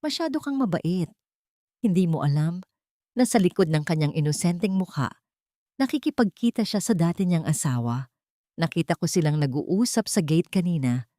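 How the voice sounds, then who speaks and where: A woman's voice: on the low side, expressive, moderate pace, mellow, no room sound, clean studio sound, close to an everyday voice. A woman voicing a scheming young female villain in an audio story, silky, insinuating and manipulative.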